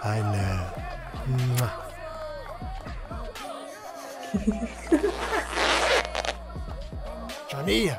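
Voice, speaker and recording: A man voicing an unhinged, theatrical clown crime boss in a motion comic, mock-polite and halting, with menace underneath. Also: medium pitch, huge pitch swings, loud music behind the voice, over a noisy crowd, exaggerated voice. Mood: coy menace.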